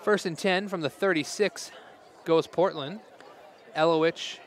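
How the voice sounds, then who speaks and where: A man calling a high school football game play by play outdoors, chatty and quick to laugh, with his voice going hoarse. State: losing his voice. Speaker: play-by-play announcer. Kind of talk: live football play-by-play commentary. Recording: crowd noise in background. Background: crowd noise.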